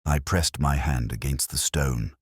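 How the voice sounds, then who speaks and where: A man playing a world-weary, observant middle-aged detective, narrating a mystery in a measured, literary, reflective way. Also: a bass voice, husky, no room sound, exaggerated voice. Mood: brooding, reflective. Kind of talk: scripted first-person mystery narration.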